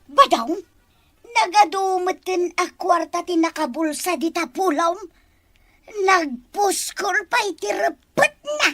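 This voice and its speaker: A woman's voice: extremely high pitch, lively intonation, slow pace, a bit bright, dry recording, exaggerated voice. A woman with an Ilocano accent playing an incredulous, chiding villager in a comedy drama.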